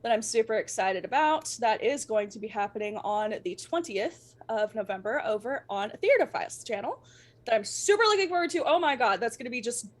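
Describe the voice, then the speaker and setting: Higher-voiced woman, gushing and playful. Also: lively intonation, fairly quick. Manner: gushing, playful, informal with swearing. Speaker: guest performer and streamer. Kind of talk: casual livestream chat.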